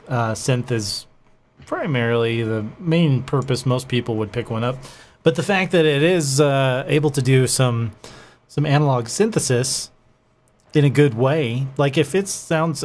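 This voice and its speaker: A man's voice: medium pitch, darkish tone. Man chatting over faint noise. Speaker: panelist, synth reviewer. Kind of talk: casual panel chat. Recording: faint background noise on call.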